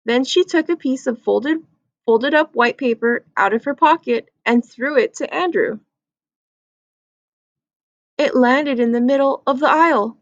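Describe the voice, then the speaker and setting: High-pitched, creaky woman storyteller. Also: a little slow, pauses often, no echo, muffled recording. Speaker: read-aloud storyteller. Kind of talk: children's book read-aloud.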